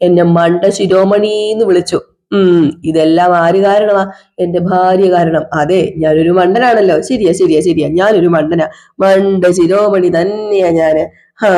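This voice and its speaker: Drunk, sulking husband, played by a woman: slow sarcastic drawl, mellow dark voice. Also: fairly low pitch, natural voice. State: drunk (as acted). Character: drunk, bitter middle-aged husband, self-pitying. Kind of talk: one-person domestic drama skit.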